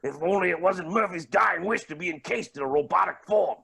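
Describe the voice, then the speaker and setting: A man's voice: sits high, brisk, vocal fry, fairly bright tone, breathy edge, full character voice. A man acting a gleefully cruel billionaire villain in a staged play reading, with pompous, melodramatic, swooping delivery. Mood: exasperated.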